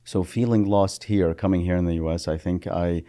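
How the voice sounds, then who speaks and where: A man in his thirties from Iraq, a therapist being interviewed in a podcast studio on a boom mic, speaking hesitantly with many fillers and restarts. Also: steady tone, normal speed, warm tone, no room sound. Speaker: therapist guest. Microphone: studio mic on boom arm.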